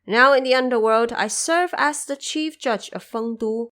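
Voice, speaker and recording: A woman's voice: a little high, a little slow, fairly bright tone. Audio drama role: male ghost judge, humble, formal, deferential court official, played by a woman. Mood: formal, respectful.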